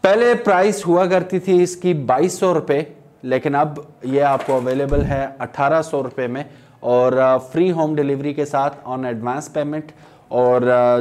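A man's voice: mid-range voice, measured pace, a little mellow. A man, reviewing motorcycle grips in a chatty, persuasive way and mixing in English phrases, seated in a small indoor studio room. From Pakistan. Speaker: product reviewer. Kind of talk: casual product review.

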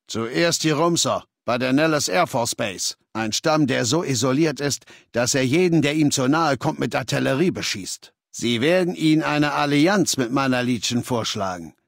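An actor playing a ruthless, imperious male legion leader in a video game, with a measured, commanding, contemptuous manner. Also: mid-range voice, vocal fry, fairly bright tone, no echo, studio quality. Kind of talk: scripted game dialogue.

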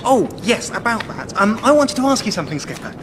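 An actor playing a cheerful, naive young English airline steward in a radio sitcom, guileless and enthusiastic. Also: male voice, high voice, big pitch swings, brisk, noisy background. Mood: curious.

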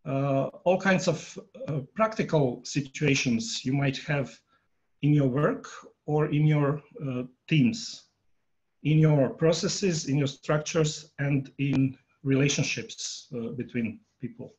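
A man in his fifties, a native Croatian speaker, giving a workshop talk on a video call from home, pausing often with fillers. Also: fairly high pitch, darkish tone, dull audio. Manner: halting, many filler pauses, polling the audience. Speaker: workshop presenter. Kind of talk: online conference talk. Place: home room. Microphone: earphone headset on a video call.

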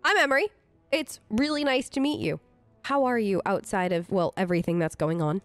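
A woman's voice: medium pitch, fairly quick, no echo, faint music behind. A woman role-playing her game character in casual, improvised dialogue, chatty, upbeat and informal.

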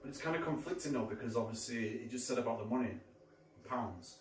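A man in his thirties muses aloud, repeating words and guessing at what they mean, his voice carrying across an echoey, empty pub. He sounds set back from the mic, with the room ringing around him. He shifts between hushed tones and sudden excitement. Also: mid-range voice, quick pace, recorded from a distance, slight background noise. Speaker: paranormal investigator. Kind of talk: casual on-location commentary. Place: dark abandoned pub, indoors. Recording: echoey room, heard from afar.

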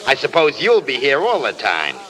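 Bitter wisecracking press agent, radio play, animated pitch, contemptuous, crowd behind. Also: male voice, moderate pace, poor audio. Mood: mocking. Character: sneering, bitter Hollywood press agent, tough man. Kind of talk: 1930s radio acting. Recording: old radio broadcast, crowd chatter behind.

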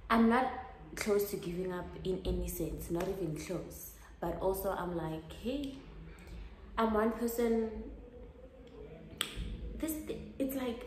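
A woman's voice: mid-range voice, darkish tone, slight background noise, poor audio. A young South African woman chatting casually to camera in a vlog, sounding tired and mixing English with isiZulu phrases. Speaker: vlogger. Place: in a car, later at home indoors.

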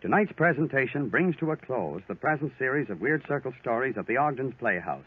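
A man's voice: mid-range voice, big pitch swings, brisk, husky. A man announcing and advertising in a 1940s radio style. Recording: phone-like 1940s radio recording.

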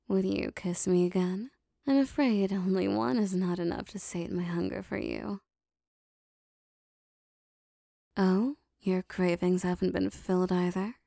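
A lovestruck female demon played by a woman speaks in an unhurried, intimate murmur with a gravelly fry. Her tone is dark and mellow, her phrasing formal and old-fashioned, full of possessive devotion, as in a romantic ASMR audio drama. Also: medium pitch, no room sound, studio quality. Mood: tender, hungry. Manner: soft, breathy, formal archaic phrasing, lingering pauses.

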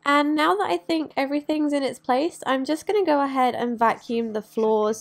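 A woman's voice: on the high side, vocal fry. A young woman, giving upbeat, instructive narration while cleaning her bedroom. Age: young adult. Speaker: lifestyle vlogger. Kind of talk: cleaning tutorial voice-over and to-camera vlog. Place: bright bedroom, indoors.

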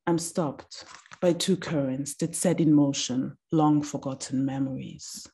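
A woman in her thirties giving a slow, deliberate reading of a reflective text over a video call from a small room. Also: low-pitched, fairly even intonation, mellow, husky. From Austria.

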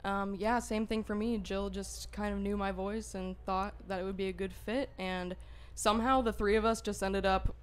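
Soft-spoken woman in her twenties from Ohio. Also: medium pitch, fairly even intonation, moderate pace, clear, resonant tone. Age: 20s. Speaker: singer, band member guest. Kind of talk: casual interview chat. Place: radio studio. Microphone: studio mic on arm, headphones.

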